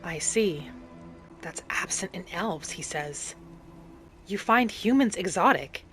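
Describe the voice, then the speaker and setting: A woman plays a gentle wood elf wanderer in a cozy fantasy game. She muses in the first person and asks questions with soft curiosity. Light music sits behind her. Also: on the low side, expressive, takes it slowly. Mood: curious. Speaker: gamer narrating a roleplay as her character. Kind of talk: first-person in-character roleplay narration.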